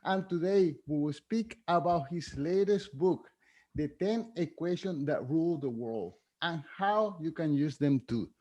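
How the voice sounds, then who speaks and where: A man with a fairly high voice hosts an interview podcast. He opens with a read introduction, then asks rambling, curious questions and laughs between them. He sounds friendly and chatty. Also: lively intonation, measured pace, darkish tone, highs cut off. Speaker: podcast host.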